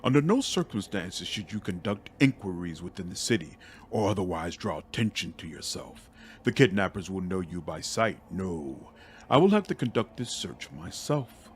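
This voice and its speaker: A man voicing a composed, calculating otherworldly male mentor in a fantasy game, speaking formally and deliberately. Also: low-pitched, sing-song, slight background noise. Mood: calm, calculating.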